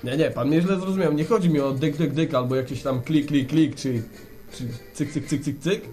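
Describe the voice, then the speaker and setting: A man's voice: a little high, moderate pace, warm tone, faint music behind, natural voice. A man playing a mock-serious, wordy and theatrically polite phone-in host in a parody radio play. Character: glib, mock-solemn male radio phone-in host, secretly fed up.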